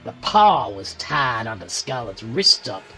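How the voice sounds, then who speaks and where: A woman's voice: extremely low pitch, sing-song, measured pace, pushed and bright, soft background music. A woman reading a scripted horror story as its narrator.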